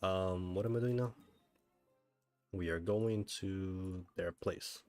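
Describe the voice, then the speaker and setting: A man's voice: sits low, slow, a little mellow, no room sound. A man chatting casually on a gaming livestream, rambling with wry asides. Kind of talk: casual gaming stream chat. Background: game music.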